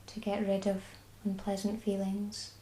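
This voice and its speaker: A woman with a Scottish accent guiding a body scan meditation, speaking slowly and soothingly. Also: medium pitch, completely flat delivery, echoey room, a little hiss or hum, rough recording. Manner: slow, soft and soothing. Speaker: mindfulness guide.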